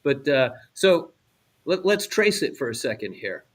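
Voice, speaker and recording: A man's voice: mid-range voice, big pitch swings, unhurried. An American man, middle-aged or older, co-hosting a radio show with casual, explanatory chat and frequent check-ins with the listener. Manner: conversational, checks in with "right?".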